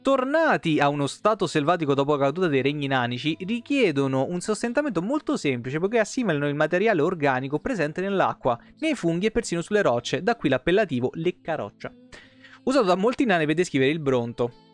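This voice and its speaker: Man, thirties, very lively intonation, casual game streamer, slangy, faint tavern music underneath. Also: a little high, brisk. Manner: slangy, foul-mouthed. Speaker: video game live streamer. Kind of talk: casual livestream chat and reading game lore aloud. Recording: music playing behind the voice. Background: soft tavern guitar music.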